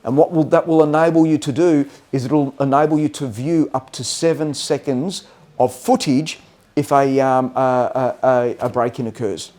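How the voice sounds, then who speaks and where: A man presenting technical product training in a halting way, often stopping to check that listeners follow. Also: mid-range voice, fairly even intonation, mellow.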